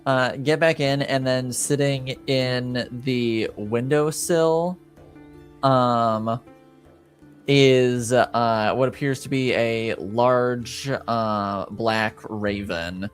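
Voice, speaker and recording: A man improvising narration as a game master, hesitant and often pausing to find his words. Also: mid-range voice, faint music behind.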